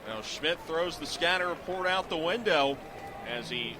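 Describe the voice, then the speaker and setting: A middle-aged man calling baseball live on the radio as play-by-play announcer, in clipped descriptive phrases that rise into excited calls. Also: fairly high pitch, unhurried, noisy background. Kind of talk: live radio sports commentary.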